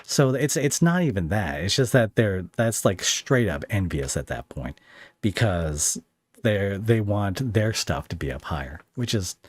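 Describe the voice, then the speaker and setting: A man's voice: on the low side, very animated, unhurried, slightly rough, dry recording. A man hosting a casual podcast interview, talking informally in a rambling, hesitant way.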